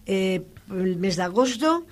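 Halting older woman recalling her past. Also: medium pitch, expressive. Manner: halting, repetitive, wandering recollection. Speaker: interviewee. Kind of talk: oral history interview.

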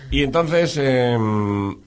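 A man's voice: mid-range voice, animated, extremely slow, clear, resonant tone. A man interviewing an older woman for an oral history, prompting her with short questions and frequent backchannels.

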